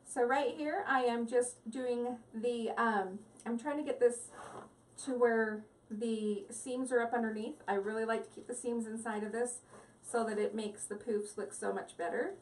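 A woman's voice: fairly high pitch, fairly even intonation, unhurried, a little mellow, recorded from a distance, a little hiss or hum, rough recording. A woman hosting a live crafting stream, chatting with viewers in a rambling, giggly way at her craft table at home. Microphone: device mic set back from the table.

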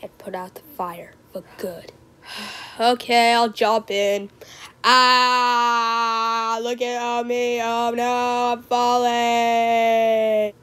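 A woman performing homemade character lines as an amateur voice actor, theatrical, with gasps and drawn-out exclamations. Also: on the high side, little pitch movement, a bit bright, clean tone. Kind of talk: homemade character voice lines, animated movie remake.

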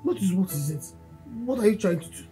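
A woman's voice: mid-range voice, expressive, a little fast, over music, lightly acted. A young woman with a Nigerian accent playing a pregnant wife who scolds and cries with repeated questions. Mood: sullen. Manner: tearful, scolding, repetitive questions. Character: pregnant wife, tearful and accusing. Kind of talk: Nollywood-style skit acting.

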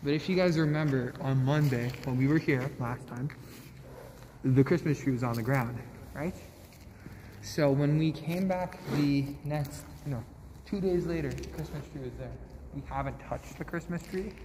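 A man's voice: on the high side, normal speed, dark tone. A young man walking through a large abandoned factory, bantering casually with his friend while the camera films him. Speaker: vlog co-host. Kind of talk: casual chat. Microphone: camera held by his companion, a few steps off. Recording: echoey hall with a noisy background.

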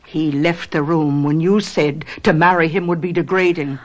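Actress as low-voiced, sensible housekeeper. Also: female voice, steady tone, close to an everyday voice. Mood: probing. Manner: measured, matter-of-fact. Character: sensible, plain-spoken housekeeper and confidante, older than her mistress. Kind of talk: radio drama acting and storytelling.

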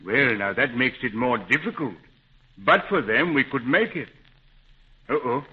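An actor playing a loyal, scrappy, folksy adventurer in a 1930s radio adventure serial. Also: male voice, mid-range voice, animated, measured pace, slightly rough. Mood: determined. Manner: folksy, plain-spoken. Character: Pat, a loyal, scrappy grown man, adventurer ally. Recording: muffled, phone-like 1930s radio recording.

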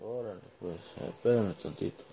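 A man with a Mexican Spanish accent giving a casual, unscripted demonstration, informal and halting as he searches for words and drops in English. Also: low voice, creaky, heavy background noise. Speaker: home vlogger demonstrating gear.

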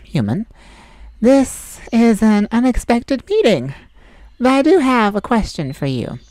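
Sassy male fae, blunt and mocking, lively up-and-down delivery, dry close audio. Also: medium pitch, no room sound. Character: sassy snarky male forest fae healer. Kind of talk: ASMR roleplay.

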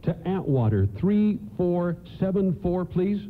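A man's voice: sing-song, a little slow. An actor playing an anxious, polite stranded father who speaks hesitantly in a radio play. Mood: flustered. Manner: hesitant, stammering. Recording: noisy narrow-band radio scene, rumble.

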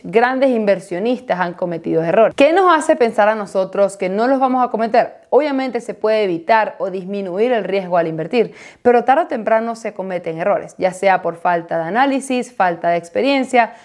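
Female video host, thirties, medium pitch, delivering prepared explainers to camera from a small home studio. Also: darkish tone. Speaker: host of an investing video channel.

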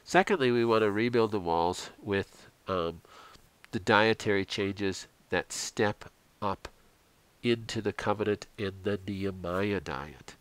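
A man's voice: lowish voice, measured pace, fairly bright tone, clear, resonant tone, no room sound. A middle-aged man narrating a slideshow health lecture in an earnest, instructive way, with frequent fillers. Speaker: chiropractor presenting a health program.

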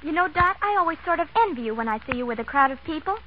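A wistful, tired young woman in a vintage radio ad, unsure of herself. The voice is high-pitched and comes through a dull, muffled 1930s broadcast. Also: animated, very fast, slight background noise. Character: sleepy, wistful, self-doubting young woman. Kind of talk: 1930s radio commercial skit.

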